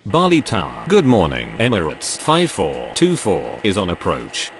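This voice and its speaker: A man playing a steady, official pilot and controller in a game, reading formulaic aviation radio calls. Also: low-pitched, measured pace, a little hiss or hum, exaggerated voice. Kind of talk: scripted aviation radio phraseology.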